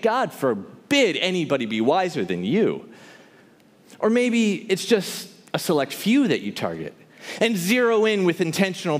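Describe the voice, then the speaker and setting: A man performing theatrical spoken word poetry to a live audience, with an impassioned, emphatic, rhythmic rhyming delivery. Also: high voice. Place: large hall, live before an audience. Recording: reverberant hall, live stage performance.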